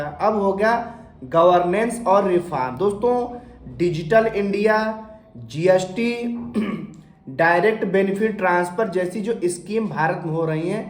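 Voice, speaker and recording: A man with a fairly high voice talks viewers through an investing explainer while nursing a sore throat, clearing it now and then. He keeps it conversational, posing questions and answering them himself, and the room around him rings with echo. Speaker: finance explainer channel host. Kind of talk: informal explainer talk to camera.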